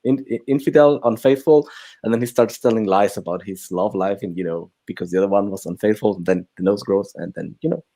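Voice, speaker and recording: A man's voice: fairly low pitch, fairly quick, dark tone. A man co-hosting a casual film chat, telling stories in a loose, rambling way peppered with filler phrases. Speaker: co-host of a film chat livestream.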